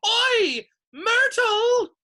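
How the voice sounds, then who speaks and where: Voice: sits high, very animated, unhurried, sharp tone, pitched above the performer's own voice, heavily acted. A storybook narrator voicing a wicked, gleeful little male poltergeist who taunts in a sly, mock-sweet way. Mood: gleeful bellowing.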